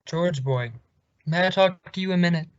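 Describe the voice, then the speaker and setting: Blustery, sardonic older radio boss played by an actor. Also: male voice, high voice, normal speed, clean tone, dry recording, close to an everyday voice. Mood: dry. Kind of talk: 1950s radio sitcom acting. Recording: dull vintage radio recording.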